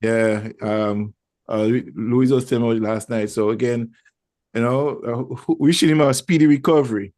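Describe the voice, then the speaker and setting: A man's voice: medium pitch, fairly even intonation, moderate pace, a little mellow, no room sound, clean studio sound. Male talk radio host, chatty and rambling. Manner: rambling, many fillers and restarts. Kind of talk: casual talk show hosting. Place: lives in Toronto.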